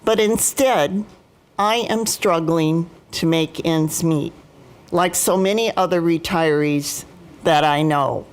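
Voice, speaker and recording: An American woman in her seventies giving prepared personal testimony as a speaker, in a formal, deliberate and emphatic manner. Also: fairly low pitch, smooth. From United States, Midwest. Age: 70s. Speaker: union retiree chapter president, guest speaker.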